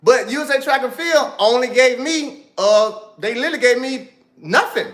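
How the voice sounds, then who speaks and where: A man's voice: extremely high pitch, animated, normal speed, fairly bright tone, reverberant. A man co-hosting a live talk show, blunt and insistent, repeating his point. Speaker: athlete co-host.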